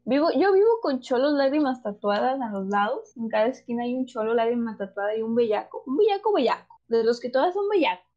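A woman's voice: on the high side, expressive, a little fast, hardly any pauses, darkish tone, highs cut off. A young woman with a northern Mexican accent co-hosting a casual livestream chat, candid and slangy. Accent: northern Mexican Spanish. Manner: slangy, laid-back, candid. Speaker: podcast co-host.

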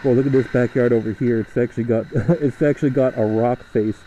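A man's voice: mid-range voice, fairly even intonation, mellow, lo-fi sound, slight background noise. Rambling young man on a motorcycle. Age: young adult. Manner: rambling, chatty, halting with fillers. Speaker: motovlogger. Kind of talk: casual riding commentary. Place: riding a motorcycle outdoors through a suburb.

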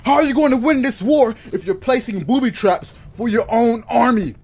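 A man's voice: very high-pitched, normal speed, a little mellow, a little hiss or hum. A man playing an exasperated, dutiful army colonel in an audio drama, urgent and commanding. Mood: urgent, stern. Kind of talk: radio drama acting.

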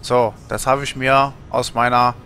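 A man's voice: medium pitch, flat delivery, a little slow, sharp tone, soft background music. A man in his thirties from Germany, chatting casually on a gaming livestream in a rambling, colloquial way, thinking aloud. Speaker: streamer.

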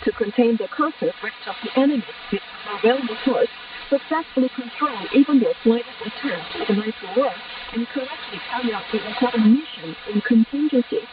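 A woman newsreader with a fairly high voice reads a formal, solemn bulletin. She comes over shortwave radio, sounding distant, with clear static hiss around her words. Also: a little fast, muffled recording. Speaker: radio news reader. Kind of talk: scripted news bulletin reading.

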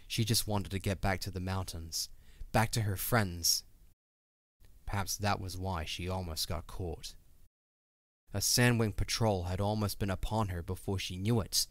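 A man's voice: sits low, steady tone, measured pace, dry recording. A man narrating a scripted story for an audio drama. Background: music.

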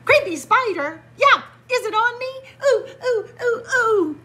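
A woman's voice: very high voice, expressive, a little slow, pushed and bright, pitched above the performer's own voice, full character voice. A woman voicing a boastful shark from a picture book, bragging and exclamatory. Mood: panicked. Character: boastful shark, jumpy about spiders. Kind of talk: picture-book read-aloud. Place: indoors in a library.

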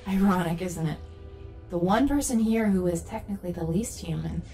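An actress playing a guarded, troubled rebel captain with a measured, brooding manner in a sci-fi audio drama. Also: female voice, lowish voice, darkish tone, soft background music, natural voice. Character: guarded, troubled female rebel captain, not fully human.

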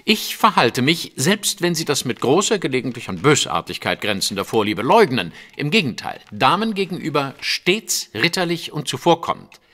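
Haughty gentleman detective for audio drama: bright, forceful, lively intonation, formal and fastidious. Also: mid-range voice, exaggerated voice. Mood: indignant, haughty. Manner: pompous, precise, formal.

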